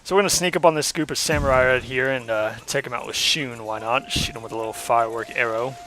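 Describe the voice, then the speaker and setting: A hoarse young man giving casual, rambling commentary while playing a game, with lots of ums and uhs. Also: medium pitch, fast talker, sharp tone, slight background noise. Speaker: let's play host.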